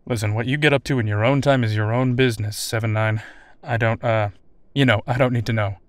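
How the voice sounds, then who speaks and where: Audio drama casting: male actor as military officer interviewer, casual, wry, mid-pitched, recorded close and dry. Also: fairly even intonation, brisk, dry recording, lightly acted.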